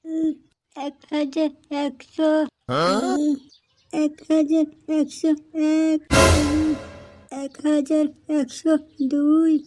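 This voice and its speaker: A man voicing a blustering, slow-witted strongman in an amateur comedy cartoon, with artificially raised pitch. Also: darkish tone, faint music behind, exaggerated voice. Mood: straining, plodding. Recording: noisy amateur recording with clicks.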